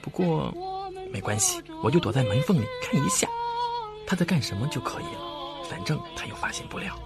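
A man's voice: fairly high pitch, huge pitch swings, brisk. An actor playing a timid, polite, nosy young male tenant in a horror radio drama, hesitant and muttering. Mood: curious, uneasy. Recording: loud music behind the voice.

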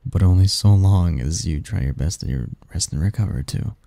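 A man's voice: deep voice, lively intonation, moderate pace, vocal fry, mellow, no echo. A man voicing a doting, possessive sleep demon lover in an intimate romantic ASMR roleplay, teasing and tender in courtly phrasing. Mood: tender, affectionate.

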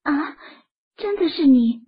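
A woman's voice: high voice, lively intonation, a little slow, dull audio. An actress playing an anxious, timid young woman in an audio drama.

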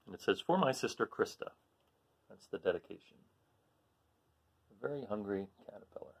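A middle-aged man reading a children's storybook aloud as host, in short deliberate phrases with expressive emphasis on key words. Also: fairly low pitch, creaky, breathy edge. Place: small room at home, indoors.

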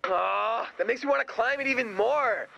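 An actor playing an excitable, gullible, earnest young man in an animated video game, melodramatic in delivery. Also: extremely high pitch, normal speed, gravelly, highs cut off, a little hiss or hum, full character voice. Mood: wistful.

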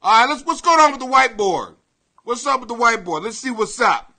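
High-pitched, forceful American comedian, a man. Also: a little fast. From Greenwich, Connecticut, United States. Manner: brash, profane, excitable, often shouting. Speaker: comedian and podcast host. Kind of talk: ranting comedy podcast monologue.

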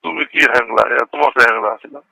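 Gravelly, sharp Bangladeshi man on the phone. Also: medium pitch, rapid-fire, no room sound. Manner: repetitive, often checks the listener understands. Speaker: local politician. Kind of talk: casual phone chat. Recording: leaked phone call recording.

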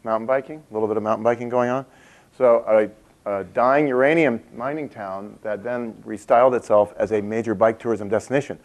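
A man's voice: fairly low pitch, fairly even intonation, fairly quick. Chatty man giving a conference keynote. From Montana. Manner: chatty, asks the audience questions, laughs at his own stories. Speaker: keynote speaker. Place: large conference room with a seated audience.

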